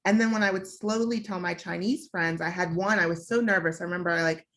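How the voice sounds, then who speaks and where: A woman's voice: fairly low pitch, steady tone, fast, fairly bright tone. A young woman from the United States telling a personal story on a panel, in a candid, reflective way with frequent fillers.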